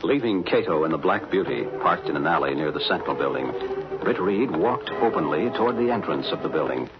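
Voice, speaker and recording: An actor as the dramatic narrator of a 1940s radio crime serial, reading scripted story links. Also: male voice, low voice, expressive, a little fast, over loud music. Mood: dramatic, suspenseful. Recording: muffled 1940s radio recording.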